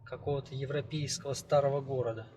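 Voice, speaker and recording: A man's voice: mid-range voice, fairly even intonation, fast, dark tone. A man chatting casually as a companion on a travel vlog, recorded outdoors. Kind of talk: casual chat. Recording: clear outdoor noise, animals and birds.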